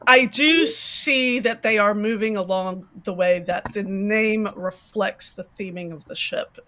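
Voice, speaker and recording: A woman panelist in casual podcast talk, animated and thinking aloud in long runs. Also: medium pitch, unhurried, slight background noise.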